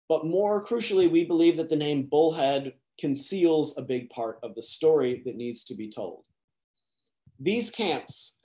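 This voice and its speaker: A man in his thirties presenting historical research over a video call, earnest and measured, his voice trailing off at phrase ends. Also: a little high, a little mellow. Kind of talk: online lecture presentation.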